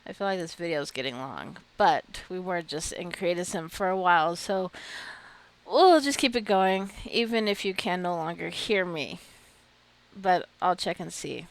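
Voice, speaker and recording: A woman's voice: on the low side, normal speed. A woman giving casual gameplay commentary, chatty and giggly, often talking straight to her game characters. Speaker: gameplay video creator.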